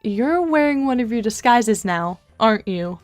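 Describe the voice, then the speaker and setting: A woman's voice: on the high side, lively intonation, normal speed, no echo, natural voice. A woman acting as a wry teenage girl in a web comic dub, teasing and precise. Her wording is formal and dry, recorded close with little room sound over faint music.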